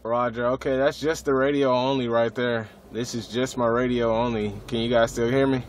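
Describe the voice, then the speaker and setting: A man's voice: medium pitch, flat delivery, normal speed, very noisy. An American man chatting casually as a radio hobbyist, in a laid-back, slangy way with some swearing.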